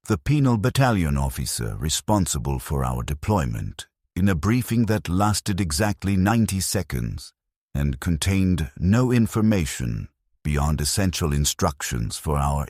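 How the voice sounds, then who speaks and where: Really deep male narrator, grim and dramatic, voice a bit rough, lively intonation. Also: dry recording, clean studio sound. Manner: grim, solemn, measured. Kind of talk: scripted dramatic first-person story narration.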